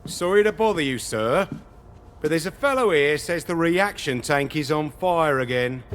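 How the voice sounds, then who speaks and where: An actor playing a deferential, eagerly officious security underling in a video game. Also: male voice, fairly high pitch, lively intonation, normal speed, fairly bright tone. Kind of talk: video game character acting.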